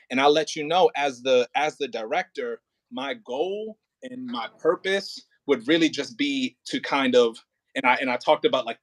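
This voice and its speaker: Guy directing an audio play, chatty coaching, stops and restarts and repeats for emphasis, dry room sound, mid pitch. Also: fairly bright tone, dry recording. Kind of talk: casual coaching at a rehearsal.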